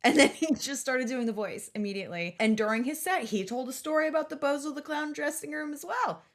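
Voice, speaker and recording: A woman hosting a podcast in casual chat, telling stories in an animated way with casual swearing. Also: high-pitched, brisk. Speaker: podcast host.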